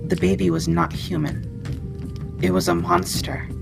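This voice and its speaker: Casting: actress as a caring female wendigo for ASMR roleplay, heavy vocal fry, gentle delivery, clear music bed. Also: fairly low pitch, little pitch movement, unhurried. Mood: grave.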